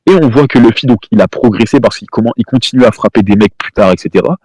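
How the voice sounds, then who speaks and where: A man's voice: mid-range voice, fast talker, darkish tone, slightly rough, dry recording. A man streaming from home, arguing heatedly with a caller, repeating himself, talking over the other person and throwing insults. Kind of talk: heated live-stream argument.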